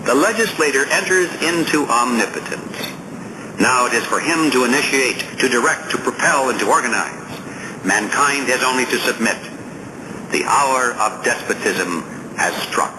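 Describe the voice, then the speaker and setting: A man's voice: mid-range voice, expressive, moderate pace, noisy background. A man reading scripted nonfiction as an audiobook narrator, in a formal, emphatic, oratorical manner.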